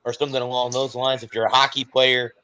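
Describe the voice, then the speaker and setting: A man's voice: mid-range voice, little pitch movement, quick pace, bright, forceful tone, clear, resonant tone, no room sound, poor audio. A man co-hosting a casual sports talk show, explaining at length and correcting himself as he goes. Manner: rambling, self-correcting explanations. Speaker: co-host.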